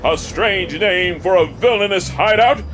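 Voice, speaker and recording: An actor playing a pompous, self-styled superhero detective in a comedy radio ad, speaking in a grandiose, theatrical way. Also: male voice, sits high, unhurried, a bit bright, slight background noise.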